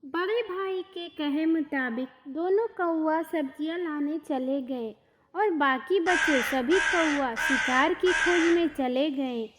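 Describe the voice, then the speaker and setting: A woman tells a children's story from a script. Her voice is extremely high in pitch, and she takes her time with very slow delivery. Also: a bit bright, in a large hall, a little hiss or hum. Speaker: storyteller, narrator.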